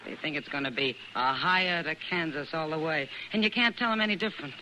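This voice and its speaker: World-weary frontier saloon woman, plain-spoken and wry, vintage radio drama with clear noise. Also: sits low, a little fast, pushed and bright. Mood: pensive. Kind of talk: old-time radio Western acting. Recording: noisy vintage radio recording.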